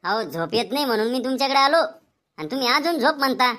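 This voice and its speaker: A man's voice: extremely high pitch, lively intonation, fast, background noise. A man voicing a cheeky, doubting male patient in a comedy cartoon, complaining and arguing in a Vidarbha Marathi accent. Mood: argumentative. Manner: complaining, argumentative.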